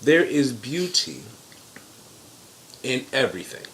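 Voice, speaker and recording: A man giving blunt, profane opinions in casual chat as co-host, with sudden jumps in pitch. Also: very animated, slow pace, fairly bright tone, a little hiss or hum, low-quality recording.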